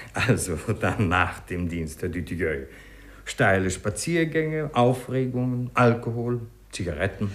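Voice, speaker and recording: An actor playing a brisk, self-assured, eccentric doctor in a 1950s radio play, theatrical in his delivery. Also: on the low side, lightly acted. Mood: urging, amused.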